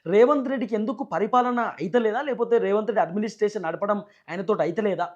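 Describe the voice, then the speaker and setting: A man's voice: high-pitched, fast talker, lo-fi sound. A man with a Telangana Telugu accent giving emphatic, repetitive political news commentary as a channel host, often in rhetorical questions.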